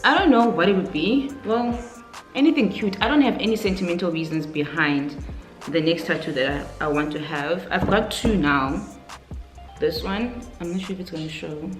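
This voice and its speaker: A woman's voice: lowish voice, moderate pace. A South African woman aged twenty-nine chatting casually and informally in a question-and-answer vlog, thinking aloud as she goes. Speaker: YouTuber, vlogger. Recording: roomy echo, faint music underneath.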